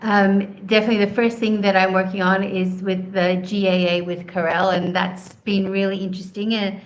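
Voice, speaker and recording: A woman's voice: medium pitch, level tone, moderate pace, dull audio. A woman from Southeast Asia, a guest answering interview questions in an earnest way, explaining at length with frequent ums.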